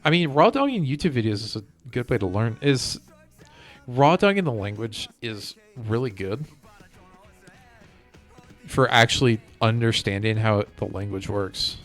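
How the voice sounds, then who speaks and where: A man's voice: mid-range voice, expressive, a little slow. A man chatting casually as he livestreams a video game. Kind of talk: casual gaming chat. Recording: music playing behind the voice. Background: music.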